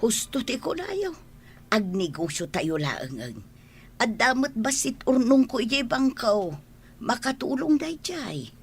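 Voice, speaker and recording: A woman playing a fretful, protective older housemaid in a radio drama, excitable and coaxing. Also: a little high, measured pace, slightly rough, heavily acted. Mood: worried, eager. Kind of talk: Ilocano radio drama acting.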